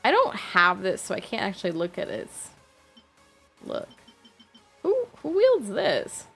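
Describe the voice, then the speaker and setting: A woman livestreaming a game with casual, rambling commentary, thinking aloud in a halting way and questioning herself. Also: medium pitch, wildly swooping pitch, gravelly, fairly bright tone, slightly rough, soft background music.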